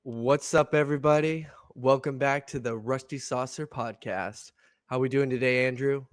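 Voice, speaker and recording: A young adult man co-hosting a casual podcast, congested from allergies so his voice sounds slightly gravelly and nasal, easygoing with lots of fillers and laughs. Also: mid-range voice, fairly even intonation, moderate pace, no echo, clean studio sound. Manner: easygoing, laughs often, many fillers and restarts. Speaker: podcast co-host. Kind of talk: casual chat.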